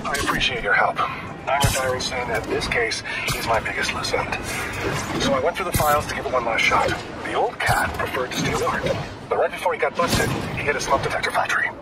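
An actor playing a dogged, businesslike veteran police detective near retirement in a video game. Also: male voice, medium pitch, brisk, over loud music, close to an everyday voice. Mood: earnest, dogged. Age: older. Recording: distant voice under loud city noise.

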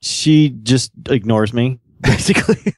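A man's voice: mid-range voice, a little slow. A man co-hosting a podcast, joking and self-deprecating in casual banter. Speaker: podcast co-host.

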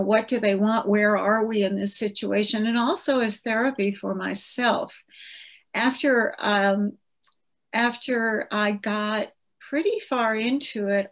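Reflective woman in her seventies. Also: medium pitch. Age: 70s. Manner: reflective, gentle, with frequent restarts. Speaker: author. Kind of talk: virtual book event conversation. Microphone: video call.

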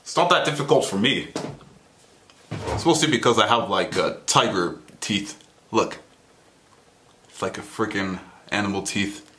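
A young man reacting to a video from his small bedroom, excitable and playful, with teasing asides into the webcam. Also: fairly low pitch, measured pace, long pauses, a little hiss or hum. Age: young adult. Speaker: reaction vlogger. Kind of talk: casual reaction commentary. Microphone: webcam at desk, earphones in. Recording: echoey room.

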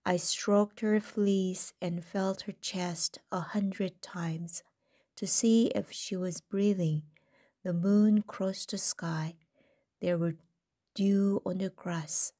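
Slow, mellow-voiced woman reading a story. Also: medium pitch, steady tone. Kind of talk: scripted first-person story read aloud.

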